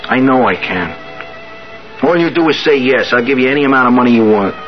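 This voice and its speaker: A dull, lo-fi radio drama recording with music behind it. A man plays a pushy, streetwise young guy with a lively, swinging pitch. He talks bluntly and insistently in rough working-class slang. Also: brisk, lightly acted. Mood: pushy, bargaining. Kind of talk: 1970s radio drama acting.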